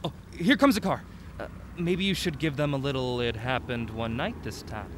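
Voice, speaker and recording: A man playing a playful, polite young traveler in an audio drama, with a teasing, expressive manner. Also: mid-range voice, normal speed, slight background noise, natural voice.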